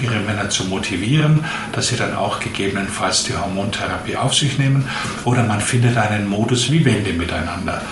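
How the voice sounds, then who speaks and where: Measured man in his fifties. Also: lowish voice, roomy sound, background noise. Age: 50s. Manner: measured, explanatory, long flowing sentences. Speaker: doctor. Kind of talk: interview answers.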